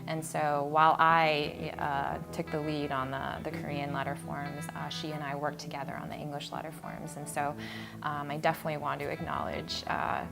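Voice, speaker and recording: An American-born woman artist talking thoughtfully about her installation in a gallery, with many ums and pauses. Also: sits low, level tone, creaky, over music. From United States. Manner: hesitant, many ums and uhs, reflective. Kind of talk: artist talk at an exhibition. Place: art gallery.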